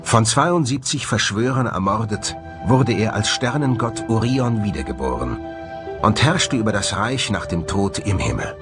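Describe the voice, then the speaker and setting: A man presenting scripted documentary narration in an expressive, dramatic manner. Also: lowish voice, moderate pace, close to an everyday voice. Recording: music under the voice. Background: music.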